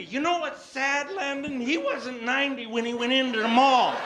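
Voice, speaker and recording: High-voiced male comedian on stage. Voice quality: high, squeaky voice. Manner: animated, swinging from hushed asides to loud exclamations. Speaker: stand-up comedian. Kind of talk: live comedy storytelling to an audience. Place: live stage before an audience. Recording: live stage recording, noisy background.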